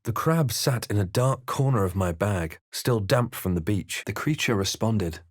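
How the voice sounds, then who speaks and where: A male fantasy game hero with a fairly low voice. He tells of his journey with solemn, measured drama and a brooding temper, in a very dry sound. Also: animated, dry recording. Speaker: player character voice actor.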